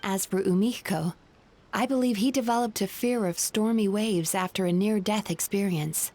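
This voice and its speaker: A woman playing a composed, perceptive young female dream healer in a video game, speaking in a measured, polite way. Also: medium pitch, darkish tone, lightly acted. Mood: calm, explaining.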